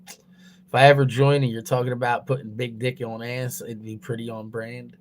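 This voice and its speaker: A man's voice: medium pitch, level tone, moderate pace. Young male streamer talking loosely into a phone, profane and rambling, laughs at his own jokes. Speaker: livestreamer. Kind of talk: casual profane stream chatter. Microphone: mobile phone.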